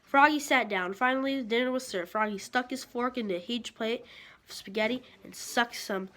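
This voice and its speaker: A woman's voice: fairly high pitch, moderate pace. A woman reading a children's story aloud expressively, with casual asides. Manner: expressive, acts out sound effects. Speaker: picture book reader.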